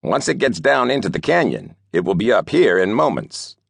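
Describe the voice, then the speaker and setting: A man's voice: fairly low pitch, sing-song, moderate pace, gravelly, dry recording, voice pushed up, exaggerated voice. A man voicing a proud, envious lord in an audiobook, grudging and skeptical in manner. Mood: defensive. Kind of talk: audiobook character dialogue.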